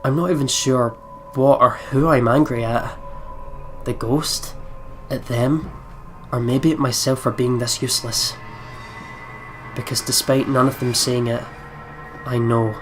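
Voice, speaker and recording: Guy hosting a lets play, dramatic story reading, chatty, mid pitched, bit of music behind. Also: fairly even intonation, measured pace. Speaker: let's play host. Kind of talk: dramatic reading of visual novel narration with casual chat.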